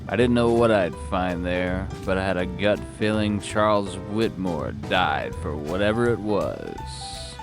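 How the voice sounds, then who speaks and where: Man acting a slow gravelly noir detective. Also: low voice, music in the background. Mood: brooding. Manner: deadpan, terse. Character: hard-boiled world-weary male private detective narrator. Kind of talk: noir audio drama narration.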